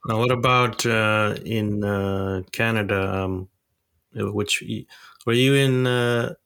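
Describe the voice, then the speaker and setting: A man co-hosts a podcast with a low, gravelly voice. He speaks briefly and stays low-key. His questions come in loose, casual chat. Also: steady tone, takes it slowly, fairly bright tone. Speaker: podcast co-host.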